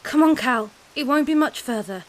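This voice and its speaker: High-voiced tired young survivor woman, played by an actress. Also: lively intonation, normal speed. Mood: weary. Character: tired, blunt, caring young female apocalypse survivor. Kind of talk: audio drama dialogue.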